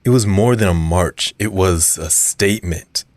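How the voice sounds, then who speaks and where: A man playing a calm, earnest community activist being interviewed in an audio drama, speaking in a measured way. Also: low-pitched, no echo. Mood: resolute. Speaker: radio show guest.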